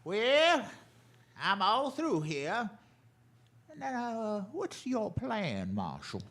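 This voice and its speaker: An actor playing a dry, blunt frontier town doctor with a deliberate manner in a Western radio drama. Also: male voice, high voice, huge pitch swings, a bit bright, full character voice. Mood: dry, grim.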